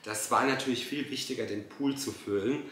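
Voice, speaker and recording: A man's voice: mid-range voice, brisk, fairly bright tone, echoey room, a little hiss or hum. A man in his thirties giving casual, exasperated and sarcastic reaction commentary. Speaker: host reacting to a TV dinner show.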